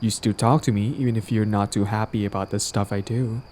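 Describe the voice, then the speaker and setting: Man acting as a smug, mellow flirty villain. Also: fairly low pitch, steady tone, fairly quick, slight background noise, natural voice. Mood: smug, coaxing. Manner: casual, teasing, cajoling, self-justifying. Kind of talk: roleplay audio drama, conversational acting.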